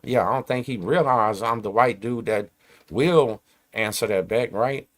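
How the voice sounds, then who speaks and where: A man's voice: medium pitch, unhurried. A man, hosting his channel and ranting to camera in emphatic streetwise slang. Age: 40s. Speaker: host. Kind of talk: casual rant to camera.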